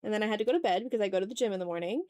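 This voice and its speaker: A woman's voice: medium pitch, racing, mellow, no room sound. A woman chatting casually on an art livestream while drawing, talking to her viewers in an informal, joking, mildly sweary way. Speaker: livestream host, illustrator.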